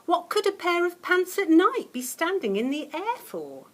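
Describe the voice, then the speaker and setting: A woman reading a children's picture book aloud as a teacher would, expressively and dramatically, with hushed suspense and swells of emphasis. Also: extremely high pitch, very animated, moderate pace, a little hiss or hum. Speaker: primary school teacher reading a story to children.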